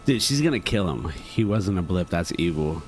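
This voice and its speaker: Male gaming commentator: deep voice, informal short reactions, faint noise behind. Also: lively intonation, normal speed, a little mellow. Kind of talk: casual play-along commentary.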